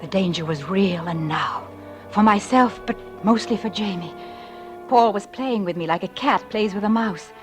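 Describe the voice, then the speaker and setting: A woman's voice: mid-range voice, normal speed, over music, lightly acted. A woman playing an anxious, fearful young mother in 1940s radio drama, with an earnest, tremulous, dramatic delivery on an old recording. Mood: anxious confiding. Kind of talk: 1940s radio drama acting, confiding narration.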